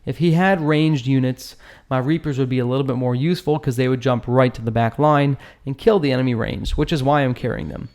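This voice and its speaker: A man's voice: medium pitch, fairly even intonation, brisk, few pauses, a little mellow. A man hosting a gaming channel, giving casual commentary as he analyses a battle, with informal, slangy, joking asides.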